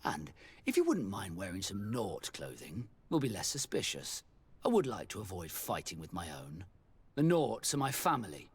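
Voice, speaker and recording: A man voicing a wary, resentful ship's captain in a video game, with a husky voice. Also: sits low, wildly swooping pitch, moderate pace, full character voice. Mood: conspiratorial.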